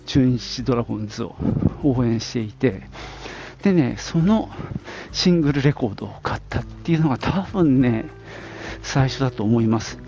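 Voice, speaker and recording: A man's voice: medium pitch, warm tone, lo-fi sound. A middle-aged man from the Nagoya area of Japan, talking casually on his own as a podcast host, in an informal, rambling way with little asides. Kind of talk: casual solo reminiscing.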